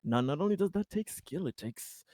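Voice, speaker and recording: A man's voice: on the high side, lively intonation, moderate pace, mellow, no echo, clean studio sound, lightly acted. A man playing a chatty, over-polite, needling character in a tabletop roleplay, rambling and stammering. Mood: impressed, hesitant.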